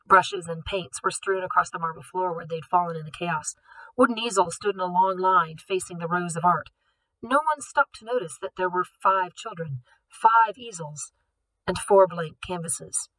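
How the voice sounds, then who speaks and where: A woman reading a novel aloud, steady and expressive. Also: on the low side, normal speed, no room sound, low-quality recording. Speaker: audiobook reader.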